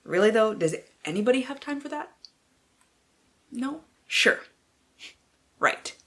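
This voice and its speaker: A woman's voice: fairly high pitch, animated, slow pace, fairly bright tone. A woman hosting a book vlog, talking casually with wry, theatrical delivery and plenty of swearing. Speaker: book vlogger, channel host. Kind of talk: casual chat to camera with playful dramatic book-blurb readings.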